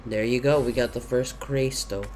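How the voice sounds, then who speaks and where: A man's voice: mid-range voice, fairly even intonation, moderate pace, darkish tone, poor audio. A young man talks through his gameplay into a headset, rambling and thinking aloud with plenty of ums. His throat is sore and he has the sniffles. A faint hiss of noise sits under his voice. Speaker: gaming YouTuber. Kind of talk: casual let's-play commentary. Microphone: gaming headset.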